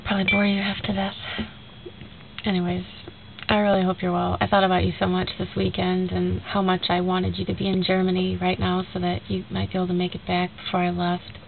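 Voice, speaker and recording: A woman's voice: fairly low pitch, completely flat delivery, fairly quick, gravelly. A young woman with a stuffy, nasal voice from a cold, talking casually in a rambling video message to a friend and retelling a conversation with many fillers. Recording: tinny lo-fi recording with faint hiss.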